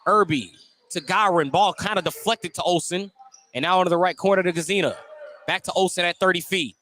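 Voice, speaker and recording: A man doing live play-by-play radio commentary of a high school basketball game, descriptive and excited on the plays. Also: fairly quick. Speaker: play-by-play sports announcer.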